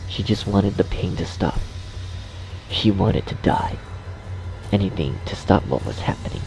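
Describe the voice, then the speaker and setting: A man's voice: sits low, a little slow, poor audio. A man reading scripted horror story narration as the narrator. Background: music.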